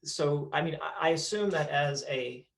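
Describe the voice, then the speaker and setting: A man's voice: fairly high pitch, moderate pace, creaky. A man hosting an interview over a video call, chatty and explanatory as he asks questions. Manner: chatty, explaining, frequent restarts. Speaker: host, interviewer.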